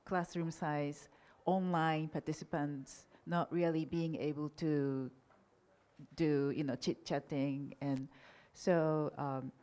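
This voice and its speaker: A woman whose first language is Japanese, answering audience questions at an academic conference in a polite, measured way with frequent fillers. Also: sits low, flat delivery, darkish tone.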